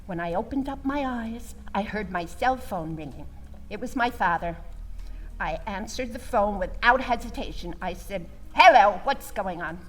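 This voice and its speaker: A woman's voice: medium pitch, expressive, normal speed, natural voice, poor audio. A woman playing a pious, melodramatic, judgmental storyteller in a theatrical comic monologue, with a pleading, exaggerated delivery. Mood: chatty, relieved.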